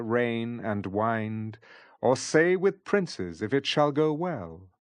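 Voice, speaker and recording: A man giving a deliberate recital of classic verse. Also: mid-range voice, dry recording. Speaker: poetry reader.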